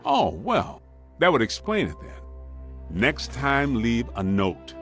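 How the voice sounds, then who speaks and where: A man's voice: medium pitch, wildly swooping pitch, slow pace, fairly bright tone, full character voice. An actor playing a streetwise, wisecracking male ghost hunter in a video game, colloquial and comic, with an American accent. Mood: wry, joking. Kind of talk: acted video game dialogue. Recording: music behind the dialogue.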